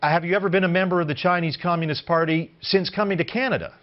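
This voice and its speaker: A man's voice: high-pitched, fairly even intonation, fast talker, lo-fi sound. A middle-aged man hosting a news program, putting interview questions from the studio. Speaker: news host.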